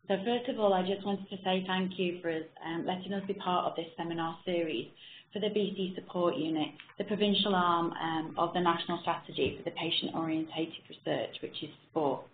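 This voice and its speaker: A middle-aged woman with a quiet, husky voice presents over a webinar. Her low voice has a gravelly fry. The sound is tinny and roomy, like a phone line in an echoey room. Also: steady tone, a little hiss or hum. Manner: measured, with frequent ums. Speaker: presenter, nurse researcher. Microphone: computer mic on a web call. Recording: echoey tinny online call audio.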